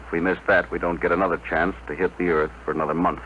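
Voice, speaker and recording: A man's voice: low voice, level tone, fast talker, natural voice. A man acting in a 1950s radio drama as a stern, burdened spaceship captain, with a clipped, commanding delivery. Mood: grim. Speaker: radio actor. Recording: muffled, noisy old radio broadcast.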